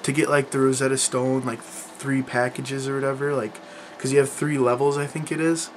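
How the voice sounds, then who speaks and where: A man's voice: medium pitch, level tone, moderate pace, a little hiss or hum. A young man chatting casually to camera about learning languages, rambling, with lots of fillers and restarts. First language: English.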